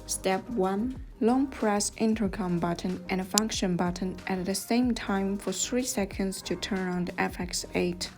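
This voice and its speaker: A woman reading scripted step-by-step instructions as a tutorial narrator, in a measured, neutral manner. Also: mid-range voice. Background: music.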